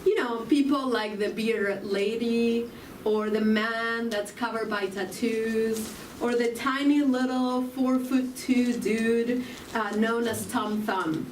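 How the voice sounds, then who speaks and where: A woman from Argentina with an Argentine Spanish accent delivers a spiritual talk at a slow, deliberate pace, often turning questions back to her listeners. Her voice sits a little high. She is picked up from some distance in a roomy hall, with faint ambience behind her. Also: slight background noise. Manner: slow, reflective, asks the audience questions. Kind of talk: inspirational sermon.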